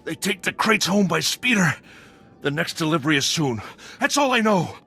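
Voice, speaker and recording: Jittery game smuggler, gravelly and husky, swooping pitch, defensive. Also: male voice, very animated, normal speed, pushed and bright, heavily acted. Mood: panicked pleading. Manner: agitated, defensive. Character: nervy, defensive male crime syndicate smuggler. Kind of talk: video game dialogue acting.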